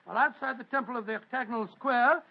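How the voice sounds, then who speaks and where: A man's voice: extremely high pitch, fast, gravelly. A man playing jovial Doctor Watson. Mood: narrating. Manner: jovial, hearty, chuckling. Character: Doctor Watson, jovial older male doctor as Santa. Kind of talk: 1940s radio acting. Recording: dull old radio recording.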